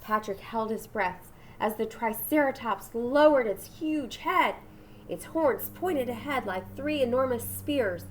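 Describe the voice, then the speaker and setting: Woman doing a kids read aloud, super animated, takes her time, little bit of noise behind her. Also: a little high, unhurried, low-quality recording. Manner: dramatic, engaging listeners with questions and asides. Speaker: storybook reader. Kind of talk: expressive children's read-aloud with asides to young listeners.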